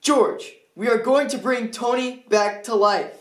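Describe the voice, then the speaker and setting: A man plays an earnest, impatient friend in a comedy skit. His high voice is pleading and insistent, and the room adds obvious echo. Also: unhurried. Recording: roomy, echoing indoor sound.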